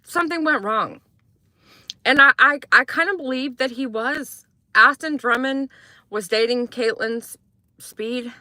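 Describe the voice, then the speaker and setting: A woman's voice: sits high, a little slow, bright, forceful tone, no room sound, studio quality. A young woman, from Croatia, hosting a casual morning true-crime livestream, chatting and answering viewers as she rambles through updates.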